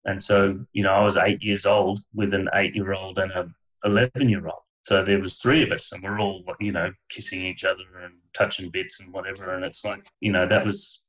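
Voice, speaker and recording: A man's voice: sits low, little pitch movement, brisk, a bit bright, rough recording. A middle-aged man, a podcast guest, telling his life story in a frank, meandering way, full of fillers and short laughs. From Australia. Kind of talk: candid conversational storytelling.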